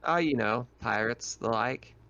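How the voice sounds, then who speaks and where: Man playing a tough, gruff guard woman. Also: mid-range voice, unhurried, bright, forceful tone, highs cut off. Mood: dismissive, guarded. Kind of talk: tabletop game role-play.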